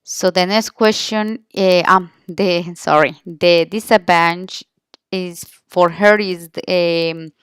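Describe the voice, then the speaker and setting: A woman's voice: fairly low pitch, fairly even intonation, slow, clear, resonant tone, dry recording, studio quality. A woman with a Colombian Spanish accent gives an informal class presentation in English as a second language, searching for words and correcting herself. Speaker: language student presenting an interview.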